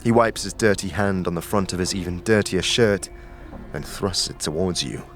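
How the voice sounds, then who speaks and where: A man's voice: low voice, moderate pace. A man reading scripted dramatic narration for an audio story. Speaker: narrator and game master. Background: clear music.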